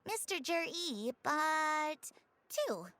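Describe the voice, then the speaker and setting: A woman's voice: high voice, very animated, extremely slow, pushed and bright, a little hiss or hum, exaggerated voice. A woman playing a polite, businesslike antique shopkeeper in a video game, speaking in courteous sales patter. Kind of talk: video game character acting. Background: music.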